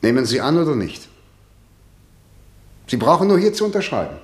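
An actor playing a stern, formal, middle-aged prosecutor and authoritarian father, measured and condescending, in a vintage radio play. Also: medium pitch, sing-song, natural voice. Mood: formal, pressing. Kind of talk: mid-century German radio drama acting. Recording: roomy 1960 radio drama studio.

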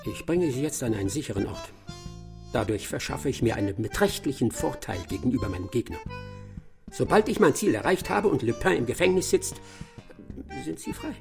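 A self-assured master detective in a radio play. His delivery swings widely in pitch, formal and emphatic, with a gravelly creak in the voice. He sounds pompous and pressing. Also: very animated, fairly quick, over music. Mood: smug, controlled. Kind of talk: radio drama acting.

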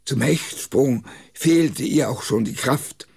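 A man reading a rhymed comic poem for children aloud, expressively and in a deliberate storytelling manner. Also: mid-range voice, creaky, a little mellow, husky. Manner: measured, deliberate storytelling delivery.

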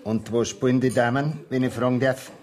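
An actor playing a gruff, distrustful lodging-house keeper with a Bavarian accent and a dry, curt manner in a 1970s radio play. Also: male voice, mid-range voice, fairly even intonation, fairly quick, darkish tone, lightly acted. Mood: dry, suspicious.

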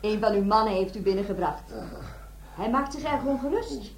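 A woman playing a calm, brisk hospital nurse in a radio play, soothing and matter-of-fact. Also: mid-range voice, natural voice. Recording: echoey room with voices behind.

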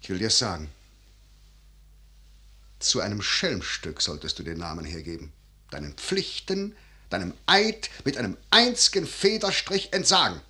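A man's voice: fairly low pitch, sing-song, normal speed, pushed and bright, heavily acted. An actor playing a grave, calculating older father and general in a classical radio verse drama, with solemn, emphatic declamation. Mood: grave, warning.

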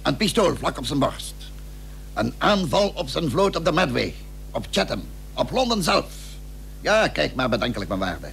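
Determined political leader. Formal, emphatic radio-play delivery, gravelly edge, faintly humming old recording. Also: male voice, on the high side, sing-song, normal speed, a bit bright, slight background noise, exaggerated voice, rough recording. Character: shrewd, determined statesman, commanding yet diplomatic schemer. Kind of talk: historical radio play acting.

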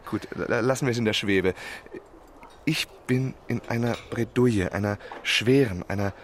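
An actor playing a lovesick young French marquis in a German radio play, chatty and self-pitying, with effusive, faltering gentility. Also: male voice, lowish voice, unhurried, a little hiss or hum, close to an everyday voice. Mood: infatuated, effusive. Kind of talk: German radio drama acting.

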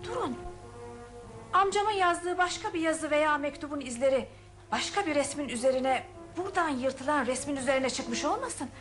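An actress playing a polite, curious, quick-witted young upper-class woman in a Turkish radio drama. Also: high voice, animated, a bit bright, soft background music. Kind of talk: Turkish radio theater acting.

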